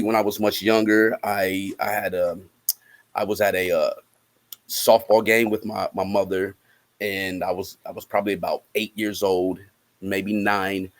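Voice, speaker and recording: A man giving interview answers as a guest, repeating words as he goes and tagging phrases with "right?". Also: on the low side. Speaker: guest musician promoting a film project.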